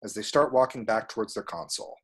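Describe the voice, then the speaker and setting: A man's voice: lowish voice, lively intonation, quick pace, husky. A man reading screenplay action descriptions as scripted narration at a table read.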